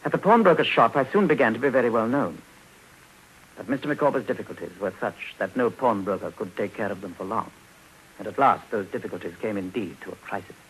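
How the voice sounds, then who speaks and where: An actor playing a grown gentleman who recounts his boyhood in measured, wry literary narration for a 1950s radio drama. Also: fairly low pitch, animated, slight background noise, low-quality recording. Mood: wry, reflective. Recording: old 1950s radio recording.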